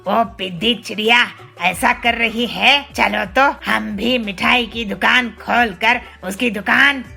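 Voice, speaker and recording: A woman voicing a greedy, scheming male bird shopkeeper in a children's cartoon story, with a slow, drawling manner. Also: on the high side, creaky, pushed and bright, soft background music, heavily acted.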